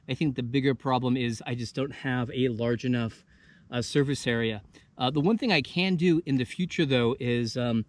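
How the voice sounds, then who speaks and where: A man in his thirties talking casually to viewers as the host of an outdoor video channel, out in the open. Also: medium pitch. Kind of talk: casual freestyle vlog talk to viewers. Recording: noisy outdoor field recording.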